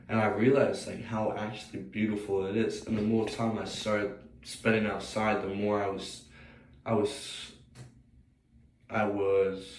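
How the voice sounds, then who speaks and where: Young American man, flat low scratchy voice. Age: young adult. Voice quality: slightly scratchy. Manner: rambling, hesitant, thinking aloud. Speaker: vlogger. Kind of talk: casual, unscripted personal reflection. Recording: roomy, distant mic, faint noise.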